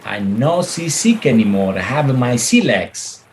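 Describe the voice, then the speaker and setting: Slow, melodramatic Italian romantic. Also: male voice, medium pitch, big pitch swings, a little mellow. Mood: wistful, eager. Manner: effusive, theatrical, broken English. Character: melodramatic Italian widower and smooth ladies' man. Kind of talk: radio play acting. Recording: faint background noise.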